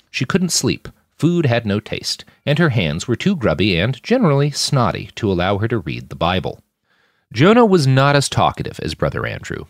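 A man's voice: fairly low pitch, vocal fry, no echo. A man reading scripted fiction as a podcast narrator, in a dramatic storytelling style, recorded up close.

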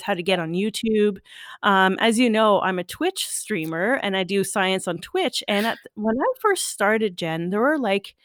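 A woman's voice: medium pitch, no room sound, clean studio sound. A woman hosting a casual conversational interview in an encouraging way. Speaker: interview host, science streamer.

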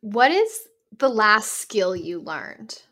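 A woman hosting a podcast interview, enthusiastic and quick with follow-up questions. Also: mid-range voice, big pitch swings, bright, forceful tone. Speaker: podcast host. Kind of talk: casual interview chat.

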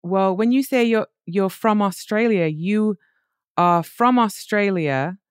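A woman's voice: mid-range voice, takes it slowly, clear, resonant tone, no room sound, studio quality. A woman interviewing a guest, asking attentive, probing questions. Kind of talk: conversational interview.

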